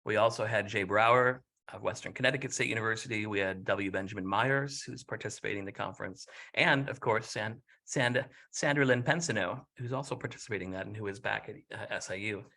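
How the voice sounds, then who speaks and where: A man's voice: lowish voice, brisk, gravelly, hardly any pauses, sharp tone. A man interviewing a fellow scholar, asking questions conversationally and responding with affirming, enthusiastic asides. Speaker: interviewer, professor.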